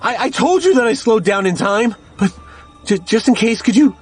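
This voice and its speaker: Young husband, stubborn, defensive, very animated delivery, audio drama with music. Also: male voice, high-pitched, normal speed, husky. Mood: anxious, urgent. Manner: argumentative, exasperated. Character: stubborn, defensive husband arguing on a road trip.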